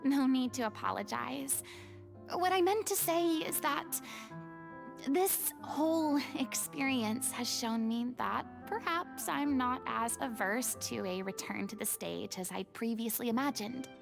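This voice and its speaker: An actress voicing a poised, eloquent former goddess turned actress in a game, speaking in a formal, reflective way. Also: female voice, a little high, a little slow, vocal fry, over music. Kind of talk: game voice acting.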